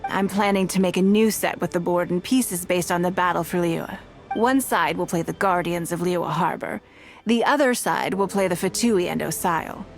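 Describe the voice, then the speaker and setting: A woman voicing a composed, wealthy woman leader in a game, measured and authoritative. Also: lowish voice, vocal fry. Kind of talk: game voice acting. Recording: game dialogue over faint music.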